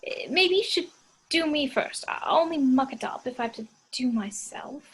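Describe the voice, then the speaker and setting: A woman's voice: sits high, lively intonation, moderate pace, fairly bright tone, rough recording. A woman playing a candid, reassuring young girlfriend in a zombie siege table read, earnest and consoling. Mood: resigned.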